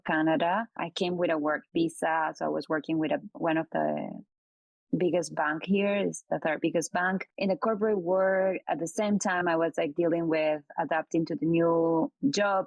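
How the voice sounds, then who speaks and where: A woman's voice: sits low, gravelly, dry recording, dull audio. A woman from Peru, a startup co-founder, answering interview questions earnestly and often using "like" as filler.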